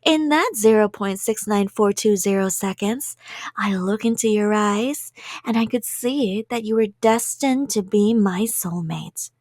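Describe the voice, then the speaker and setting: A woman's voice: medium pitch, unhurried, a little mellow, dry recording, clean studio sound, natural voice. A woman playing a cheerful, delusional, obsessive kidnapper in a rambling ASMR roleplay, sweet and playful and drifting into whispers. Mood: dreamy, obsessed.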